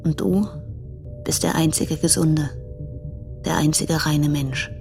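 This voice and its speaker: A woman's voice: deep voice, fairly even intonation, takes it slowly, gravelly, darkish tone, soft background music, exaggerated voice. An actress playing a woman in love, earnest and pleading, in an intimate radio drama. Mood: yearning. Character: yearning, urgent woman in love, pleading by letter.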